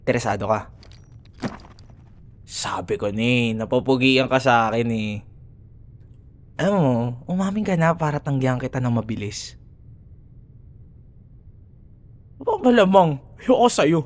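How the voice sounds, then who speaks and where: A man's voice: mid-range voice, animated, moderate pace, pauses often, natural voice. A young man with a Filipino accent acting a cocky, grudging student rival in an ASMR roleplay, teasing and sarcastic in casual banter. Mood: smug, teasing. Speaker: voice actor.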